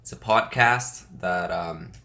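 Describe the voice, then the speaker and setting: A man's voice: low-pitched, takes it slowly, vocal fry, sharp tone, slight background noise. A man with a Russian accent co-hosting a casual podcast chat, talking hesitantly with many fillers and restarts. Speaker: podcast co-host.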